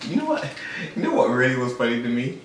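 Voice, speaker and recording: A young man co-hosting a casual TV review chat, playful and quick to laugh, repeating his lines. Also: mid-range voice, huge pitch swings, reverberant, far from the mic, slight background noise. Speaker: co-host reviewing a TV episode.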